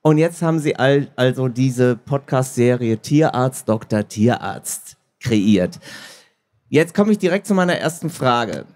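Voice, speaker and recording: A man's voice: medium pitch, expressive, a little slow, a little mellow. A man moderating a live interview on stage as the host, introducing and questioning guests. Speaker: host, audiobook producer. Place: stage at a book fair.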